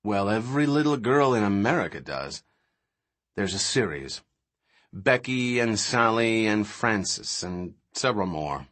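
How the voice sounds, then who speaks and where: A man's voice: lowish voice, expressive, a little slow, a bit bright. A man voicing a candid, amused woman scientist in an audiobook, with a casual, wry manner. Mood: wry amusement.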